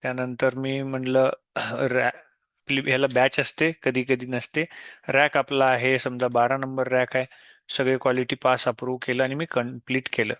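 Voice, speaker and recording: A man explains software step by step in an unscripted tutorial, often posing questions and answering them himself. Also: medium pitch, completely flat delivery, normal speed, dry recording. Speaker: software demo presenter.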